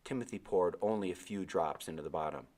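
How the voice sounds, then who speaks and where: A man with a fairly low voice reads a storybook aloud. He delivers it as scripted narration, in the role of the narrator. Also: fast talker, a little mellow.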